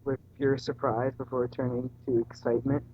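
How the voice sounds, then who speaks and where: A man's voice: mid-range voice, little pitch movement, moderate pace. A man casually reading a story aloud and voicing its dialogue. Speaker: reader in a group fanfiction reading. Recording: dull, faintly noisy home recording.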